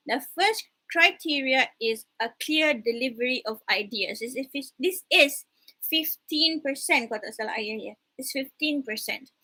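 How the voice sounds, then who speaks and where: A woman's voice: high voice, pushed and bright, poor audio. A Malaysian woman lecturer talking to a camera in a chatty way, slipping Malay words into her English. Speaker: university lecturer. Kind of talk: recorded online lecture. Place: at home, alone with a camera. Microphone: camera in front of her.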